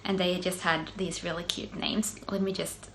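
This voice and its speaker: A middle-aged woman with a Finland-Swedish accent talks through her knitting podcast in a relaxed, chatty way, full of ums and small restarts. Her voice sits on the lower side for a woman. A faint hiss runs under the recording. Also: normal speed. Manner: chatty, self-interrupting, soft laughs, frequent ums. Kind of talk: casual solo video podcast.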